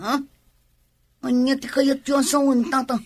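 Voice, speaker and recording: Feisty tomboyish young woman, high raspy voice, Ilocano Filipino accent, radio drama. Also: level tone, unhurried, darkish tone. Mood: indignant. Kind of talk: radio comedy-drama acting.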